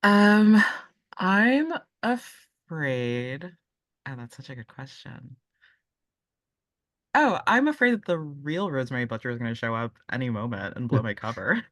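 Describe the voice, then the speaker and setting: A woman's voice: very deep voice, lively intonation, a little slow, a bit bright, no room sound, clean studio sound. A woman playing a tabletop game, casually describing actions and thinking aloud.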